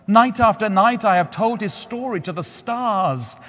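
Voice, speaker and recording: A tender, devoted nightingale from a storybook, put on by a man reading aloud speaks in a lifted, earnest voice. The delivery is slow and breathy. A long hall reverb trails each phrase. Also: high voice, expressive, a bit bright, voice pushed up, full character voice. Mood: rapt.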